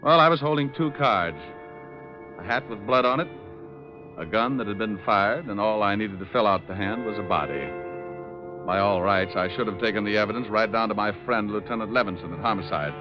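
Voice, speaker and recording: A tough, breezy private eye, played by a male actor in a 1940s radio drama. His voice sits fairly low and has a wry, wisecracking edge. It comes through a dull vintage recording with music. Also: a little fast, natural voice. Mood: wry storytelling. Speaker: lead actor and first-person narrator.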